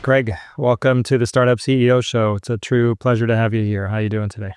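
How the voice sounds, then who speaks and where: A man's voice: mid-range voice, level tone, fairly quick, clear, resonant tone, no echo. Chatty male podcast host, dry close sound. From Scotland. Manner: friendly, chatty, self-deprecating jokes. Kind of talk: interview podcast, casual chat.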